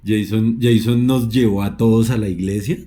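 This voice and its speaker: Low, mellow-voiced host. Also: male voice, fairly even intonation, normal speed. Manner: reacts with short exclamations and greets viewers. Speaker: podcast host. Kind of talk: casual interview.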